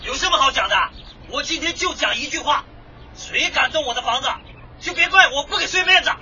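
Belligerent man shouting from afar, high-pitched. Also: animated, a little fast. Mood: defiant, taunting. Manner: taunting, combative. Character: belligerent, defiant male resident refusing a demolition order. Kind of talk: radio drama acting. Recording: muffled, distant, noisy outdoor crowd scene.

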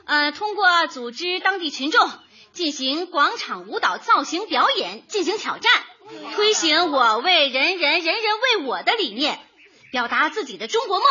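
A woman acting an excitable, cheerful neighbourhood committee organiser who addresses residents in a light radio comedy, with exclamatory delivery. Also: sits high, full character voice. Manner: exclamatory, announcing to a crowd. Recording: dull audio with crowd behind.

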